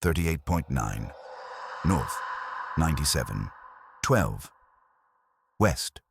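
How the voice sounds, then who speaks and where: Man voicing a very deep, eerie toneless stranger. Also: sing-song, slow, vocal fry, noisy background, full character voice. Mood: flat, cryptic. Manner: toneless, almost robotic, cryptic. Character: mysterious flat, emotionless voice on CB radio.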